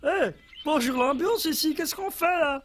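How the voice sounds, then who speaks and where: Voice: high-pitched, unhurried, a bit bright. An actor voicing a carefree, forgetful young animal in a French children's cartoon, easygoing, naive and rambling. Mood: cheerful.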